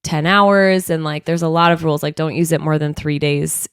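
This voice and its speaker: A woman with a stuffy, blocked-up nose rambles through a casual podcast chat, padding her stories with fillers. Her voice sits fairly low and comes across smooth and clean. The sound is dry and close, with no room around it. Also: fairly even intonation, normal speed, dry recording. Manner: chatty, rambling storytelling with many fillers. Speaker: podcast co-host. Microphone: studio microphone.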